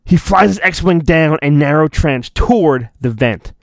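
A man hosting a podcast, giving a mock-dramatic storybook reading and breaking into jokey, deadpan asides. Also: on the high side, a little slow, creaky, no room sound, clean studio sound. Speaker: podcast host.

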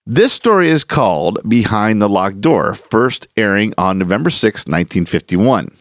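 A man's voice: lowish voice, sing-song, normal speed, no room sound, clean studio sound. A man working as a podcast host, reading a scripted introduction to classic radio horror. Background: soft music.

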